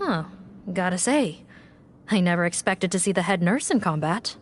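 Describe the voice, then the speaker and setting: A woman's voice: fairly low pitch, lively intonation, normal speed, background noise, close to an everyday voice. An actress playing a stern female captain who gives orders and speaks with authority in a video game. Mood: wry.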